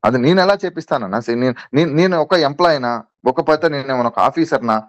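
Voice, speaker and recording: A man's voice: mid-range voice, animated, fast, no echo, dull audio. A man being interviewed on a recorded call, protesting insistently and repeating himself as he defends his position.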